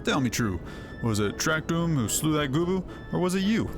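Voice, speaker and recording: A man voicing a gruff, no-nonsense mercenary chief in a fantasy game, scolding bluntly. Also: on the high side, very animated, moderate pace. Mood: suspicious. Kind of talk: voiced fantasy game dialogue. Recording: music under the voice.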